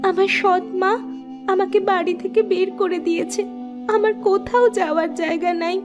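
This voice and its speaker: A very high, childlike voice from a woman actor plays a sad, mistreated little girl. She speaks in a plaintive, pleading way, as in a cartoon story, with music faintly behind her. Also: fairly even intonation, normal speed. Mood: sorrowful. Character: sad, mistreated young stepdaughter, pleading and sweet.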